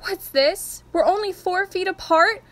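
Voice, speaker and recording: A melodramatic radio host played by a woman with a high voice. She is theatrical and quick to panic, in the style of a comic radio play. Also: fairly bright tone. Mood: panicked.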